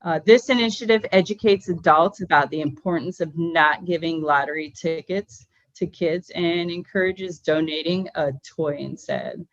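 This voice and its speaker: A woman's voice: lowish voice, no echo. Woman presenter, friendly and filler-heavy, dry lo-fi sound. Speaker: GameSense presenter. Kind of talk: prepared meeting presentation. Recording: dry, dull lo-fi meeting audio.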